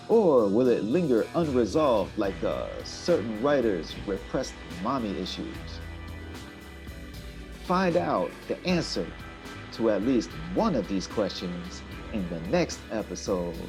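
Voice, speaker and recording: A man playing a melodramatic, tongue-in-cheek announcer narrating a radio serial cliffhanger in a theatrical, questioning way. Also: medium pitch. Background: guitar music.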